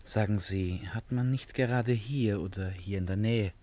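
A man's voice: lowish voice, moderate pace, dark tone, natural voice. A man acting in a German radio drama as an eccentric, nervous, talkative man, theatrical and swinging between confiding and outbursts. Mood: intense, confiding.

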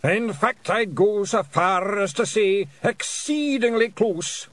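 A man voicing a courteous Scottish pixie bard for a storybook, deliberate, over-formal and ponderously precise. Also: high voice, expressive, a bit bright, no echo, pitched above the performer's own voice, exaggerated voice. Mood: measured, polite.

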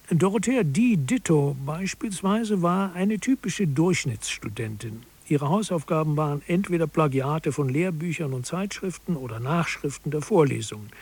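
A man with a fairly high voice and a husky edge narrates a satirical radio feature. His delivery is scripted, measured and matter-of-fact, like someone presenting case studies. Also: darkish tone.

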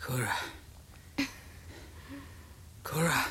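An actor in a German crime radio drama playing a desperate drifter, agitated, straining and out of breath. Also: male voice, mid-range voice, expressive, very slow, noisy background. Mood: urgent. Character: desperate drifter lover straining through a murder.

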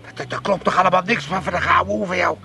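A man's voice: high-pitched, fairly even intonation, racing, pushed and bright, slight background noise. An actor playing a meddling, bossy old father in a comedy radio play, blustering and repeating himself. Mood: blustering, dismissive. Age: older. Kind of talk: 1980s Dutch comedy radio play acting.

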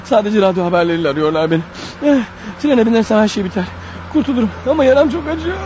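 Radio play role: wounded killer on the run, very high-pitched, rapid, agitated, self-pitying, fading strength. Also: male voice, lively intonation, darkish tone. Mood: exhausted, panicky. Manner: agitated, muttering to himself, snapping at others. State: weak, bleeding, fighting off sleep. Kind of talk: radio drama acting with inner monologue. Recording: dull radio recording with faint noise.

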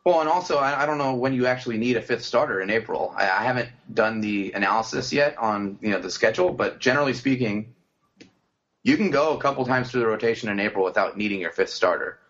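A man's voice: mid-range voice, fast talker, a bit bright. Casual man co-hosting a lo-fi podcast. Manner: conversational, frequent fillers and restarts. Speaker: podcast co-host. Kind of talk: casual sports chat. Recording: dull lo-fi podcast audio.

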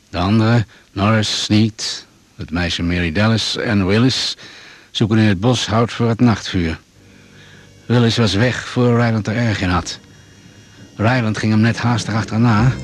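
An actor playing a matter-of-fact doctor who survived a crash, measured and no-nonsense, in a Dutch radio play. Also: male voice, lowish voice, close to an everyday voice.

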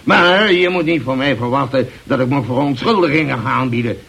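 An actor in an old radio drama playing a grumbling, blustering older boss, gruff but fair, who hesitates and interrupts. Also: male voice, mid-range voice, fairly quick, a bit bright. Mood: grudging, blustering. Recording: old radio play recording.

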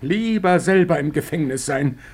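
An aggrieved murder suspect in a 1950s radio drama. His high voice is husky and swings widely as he stammers in timid, stubborn protest. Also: expressive, normal speed, darkish tone. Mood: sullen. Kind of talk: 1950s German radio play acting.

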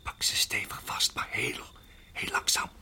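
A man acting in a radio play as a protective husband, speaking in a halting, urgent hush. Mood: tense, hushed. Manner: hushed, halting, urgent whispering. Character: tense, protective husband guiding his endangered wife.

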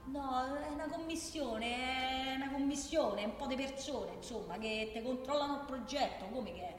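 A woman's voice: high-pitched, moderate pace, lightly acted. An actress playing a feisty working-class woman with a Roman accent, arguing colloquially and emphatically in a theatrical radio monologue. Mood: explaining, sarcastic. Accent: Roman Italian. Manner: colloquial, argumentative, emphatic, with rhetorical questions. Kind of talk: theatrical radio monologue, one side of a conversation. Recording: echoey reverberant hall, faint background noise.

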